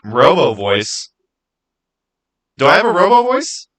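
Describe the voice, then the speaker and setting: Brief: male streamer, slightly high voice, casual live talk on game lore, emphatic and repetitive. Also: wildly swooping pitch, slow pace, a bit bright.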